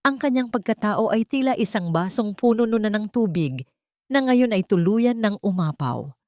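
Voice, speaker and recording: A woman's voice: mid-range voice, lively intonation, normal speed, warm tone, no echo. A woman reading scripted dramatic narration in a solemn, melodramatic storytelling manner.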